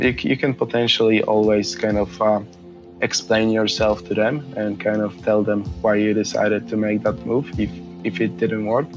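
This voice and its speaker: A man's voice: fairly low pitch, rough recording. A man in his thirties from Poland with a Polish accent, speaking in a casual interview with many fillers and repeated words. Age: 30s. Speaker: interview guest.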